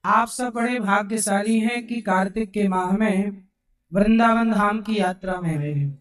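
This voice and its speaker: A man with a hoarse throat preaching a devotional sermon to a live gathering, unhurried, asking the crowd questions and answering them himself. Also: high-pitched, a bit bright. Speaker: Bhagavat katha preacher. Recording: roomy sound from a distant mic.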